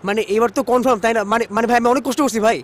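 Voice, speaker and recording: An actor playing an excitable, hopeful young man in a TV drama, with a Bangladeshi accent and an eager, slangy, repetitive way of talking. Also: very high-pitched, rapid-fire. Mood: eager, excited. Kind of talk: natural TV drama dialogue.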